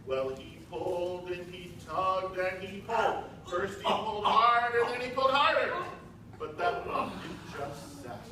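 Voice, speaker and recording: Animated, high-pitched American man narrating. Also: unhurried. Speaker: narrator and presenter, school staff. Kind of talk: animated storybook narration to children. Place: large school multipurpose room, indoors. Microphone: distant camera. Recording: echoey school hall from far off, noisy.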